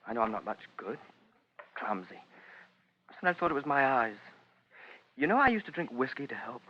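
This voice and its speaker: An actor playing an insecure, intense, sensitive young British man in a 1950s radio drama, earnest and halting. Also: mid-range voice, very animated, lightly acted. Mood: earnest, wounded. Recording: muffled vintage radio recording with hiss.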